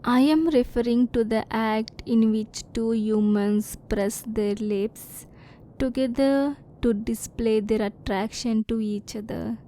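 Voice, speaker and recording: A woman voicing a curious, reserved female alien in an ASMR roleplay, speaking softly in formal, stilted phrases, at times close to a whisper. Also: fairly high pitch, steady tone, slow pace, poor audio. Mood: curious, composed. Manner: soft-spoken, sometimes near whisper.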